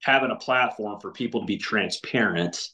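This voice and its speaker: A man's voice: lowish voice, moderate pace, pushed and bright. A middle-aged man talking earnestly over a video call, often restarting his sentences. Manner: many restarts and fillers, earnest. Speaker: podcast guest. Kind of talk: casual video call chat. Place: home room with windows. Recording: roomy echo.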